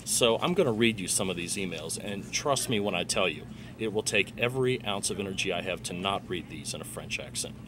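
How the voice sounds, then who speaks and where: A man's voice: low voice, animated, a little fast, noisy background. An American man giving wry, theatrical commentary as an economics blogger, in a casual presenting style. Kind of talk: casual commentary with dramatic read-aloud of emails.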